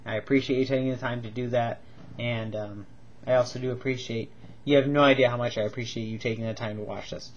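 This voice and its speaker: A man's voice: medium pitch, fairly even intonation, quick pace, muffled recording. Stuffed-up man gushing about toys. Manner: rambling, gushing, many ums and repeats. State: stuffed up with a cold, coughing. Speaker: toy reviewer. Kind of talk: casual toy review vlog.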